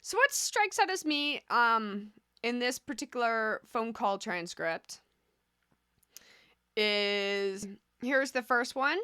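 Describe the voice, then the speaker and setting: A woman's voice: on the high side, animated, slow pace, pushed and bright, clean tone, no echo, clean studio sound. A woman hosting a casual video commentary on stocks, talking conversationally and drifting into asides. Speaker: host of a stock commentary channel.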